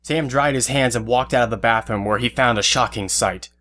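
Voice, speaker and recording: A man's voice: medium pitch, a little fast, pushed and bright. A man giving scripted third-person narration for an audio drama. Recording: faint music under narration. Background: faint music.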